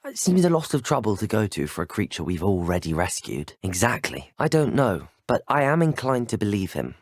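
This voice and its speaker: A man's voice: on the low side, very animated, a little fast, no room sound. A man playing a polite, earnest, inquisitive teenage wizard student in a video game, speaking formal, courteous, well-spoken lines. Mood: earnest, questioning. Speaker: player character voice actor. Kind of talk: video game dialogue clips, scripted acting.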